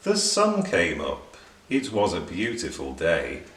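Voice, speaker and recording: A man tells a children's story with very lively intonation and an unhurried pace. He sounds a little away from the microphone in an echoey room. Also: deep voice. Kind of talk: expressive children's story narration. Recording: roomy, distant, faint background noise.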